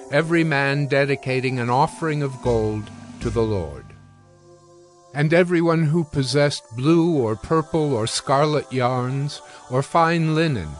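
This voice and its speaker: A man with a mid-pitched voice narrates scripture. He tells it formally and in measured, deliberate phrases, with music playing faintly beneath him.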